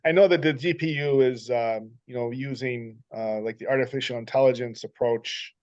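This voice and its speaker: A man's voice: medium pitch, moderate pace, no echo, muffled recording. A man joining a casual video call chat, talking hesitantly with fillers like "um" and "you know". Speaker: chat participant. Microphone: video call microphone.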